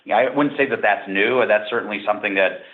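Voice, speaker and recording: A man speaking as a company executive, explaining things off the cuff on an earnings call in measured, hesitant phrases. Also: on the low side. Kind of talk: unscripted answers on an earnings call. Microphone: conference call line. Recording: narrow, phone-like conference call audio.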